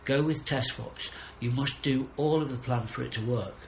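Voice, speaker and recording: A man's voice: mid-range voice, moderate pace, creaky, lightly acted. A man voicing a wise female owl in a children's audiobook, urgent and commanding, in a roomy recording. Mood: firm, brave. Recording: roomy, faint background noise.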